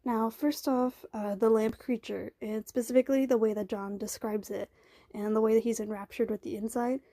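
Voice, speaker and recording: A woman's voice: fairly high pitch, steady tone, normal speed, mellow, dry recording, studio quality. A woman giving her commentary in a listener voice message. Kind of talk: opinion commentary.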